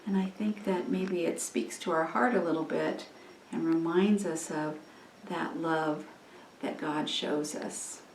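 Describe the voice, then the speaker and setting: A woman giving an informal, reflective children's church lesson, thinking aloud with halting pauses, recorded at a distance in a roomy space. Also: low voice, steady tone. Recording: roomy, distant mic, faint noise.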